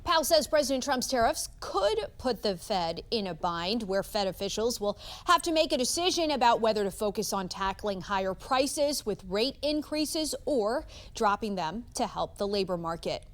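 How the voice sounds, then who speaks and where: High-voiced American woman anchoring news. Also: animated, moderate pace, few pauses. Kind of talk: scripted news reading.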